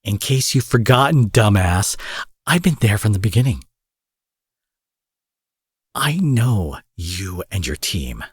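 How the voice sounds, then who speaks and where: A man acting out a cocky, foul-mouthed young supervillain in an audio roleplay, husky, mocking and possessive, taunting his captive with drawled pet names. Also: on the low side, big pitch swings, slow, pauses often, no echo, clean studio sound, exaggerated voice. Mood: mocking, insistent. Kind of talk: dramatic roleplay monologue addressed to the listener.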